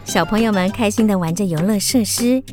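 A woman's voice: medium pitch, fairly quick, over loud music. A woman with a Taiwanese Mandarin accent, narrating a scripted story for children.

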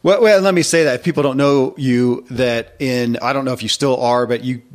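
A man with an average-pitched voice hosts a conversational interview. He is wordy, working his way around a question and pausing to clarify points for listeners. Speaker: podcast host and author.

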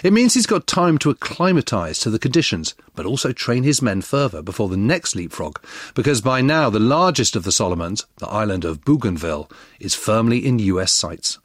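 A man narrates history in a scripted, explanatory way. His pitch moves a lot. The sound is close and dry. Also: brisk, dry recording. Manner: expressive, explanatory.

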